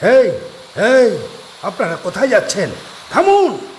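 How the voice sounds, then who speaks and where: A desperate middle-aged keeper, pleading and strained, his voice pitched high and swinging wildly, as a man puts him on. He sounds like he is in an echoey room with faint noise behind him, like an audio horror drama. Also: huge pitch swings, measured pace, full character voice. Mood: shouting.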